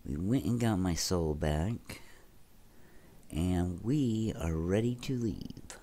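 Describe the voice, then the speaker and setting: A man's voice: low voice, lively intonation, takes it slowly, dark tone, slight background noise. A young man hosting a let's play, chatting casually with viewers while sounding stuffy and congested. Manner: chatty, addresses viewers directly. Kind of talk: casual gameplay commentary.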